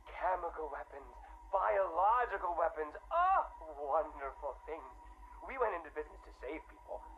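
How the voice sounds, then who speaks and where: A guilt-ridden middle-aged scientist rambles through a confessional game log. He is jittery, tipsy and apologetic, tripping over words on a tinny recording with faint hiss. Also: a little high. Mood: tipsy, giddy. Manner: nervous, stammering, apologetic, rambling.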